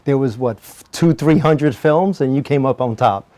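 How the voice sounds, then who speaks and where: A man's voice: medium pitch, moderate pace, darkish tone. A man hosting a talk show interview, friendly and encouraging, laughing along with his guest.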